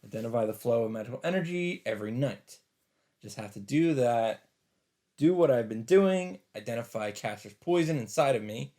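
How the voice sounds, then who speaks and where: A man's voice: medium pitch, animated, normal speed, dark tone. Man dramatically reading a game aloud. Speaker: let's player. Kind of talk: reading a visual novel aloud, with casual commentary. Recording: faint noise, game ambience behind.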